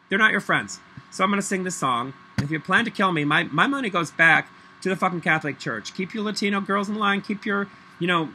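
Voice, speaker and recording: A man's voice: on the high side, slight background noise. A middle-aged man ranting angrily and rambling into a webcam at home, swearing often and breaking into songs. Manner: angry, profane, rambling; breaks into loud off-key singing. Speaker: vlogger. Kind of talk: rambling webcam monologue with singing. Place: small room at home, indoors. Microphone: laptop webcam.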